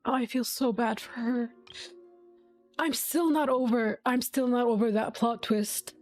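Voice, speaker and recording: A woman's voice: on the high side, fairly even intonation, a little slow, a little mellow. A woman giving casual, emotionally invested reaction commentary on a game, thinking aloud and swearing freely. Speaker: game streamer. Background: faint game music.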